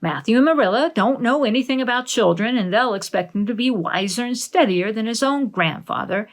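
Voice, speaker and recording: Lady doing a nosy older village gossip woman, blunt and scolding, pitch jumps way up, storybook style. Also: lively intonation, fairly quick, lightly acted. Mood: astonished, pitying. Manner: emphatic, scolding.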